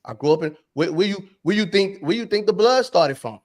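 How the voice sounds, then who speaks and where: A man's voice: sits high, lively intonation, brisk, darkish tone. A man from New York, a podcast co-host, talking bluntly in casual debate, full of slang and repeating himself.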